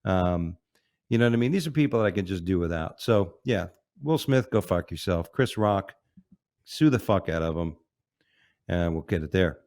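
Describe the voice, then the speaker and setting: A man with a fairly low voice talking casually on a show. He rambles and swears a lot, and he drives his points home with emphasis. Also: animated, moderate pace, a little mellow. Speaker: podcast co-host, police officer. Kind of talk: casual chat.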